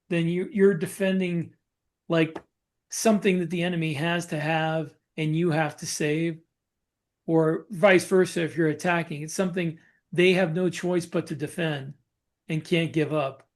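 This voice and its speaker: A man co-hosting a podcast and talking shop as a novelist, explaining casually in a halting way and reworking his phrases. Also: fairly high pitch, fairly even intonation. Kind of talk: casual discussion of writing military science fiction.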